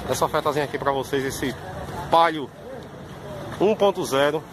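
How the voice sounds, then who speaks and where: A man's voice: on the high side, normal speed. Man, casual car commentary, phone mic, outdoor car fair, street noise behind. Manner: chatty, informal, repeats "pessoal". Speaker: car vlogger. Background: traffic and crowd ambience.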